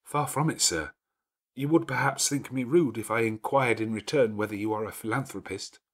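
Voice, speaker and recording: A man voicing a polite, plain-spoken young governess with measured, respectful frankness in an audiobook. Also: mid-range voice, fairly bright tone, pitched above the performer's own voice. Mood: frank, apologetic. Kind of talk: dramatic audiobook character dialogue.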